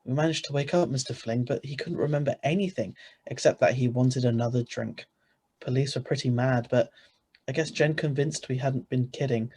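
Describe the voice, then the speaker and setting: A man's voice: medium pitch, fairly quick, a little mellow, slightly rough, lightly acted. A man playing an ordinary, easily flustered married man who narrates his own story in an old-style radio play, read over a video call. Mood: wry storytelling. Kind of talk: 1940s radio acting read aloud.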